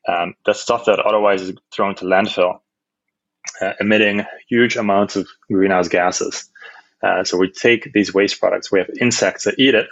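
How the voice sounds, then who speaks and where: Low-voiced man from the Netherlands, hesitant. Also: dry recording, dull audio. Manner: hesitant, many fillers and restarts. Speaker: startup co-founder, guest. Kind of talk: casual interview answers.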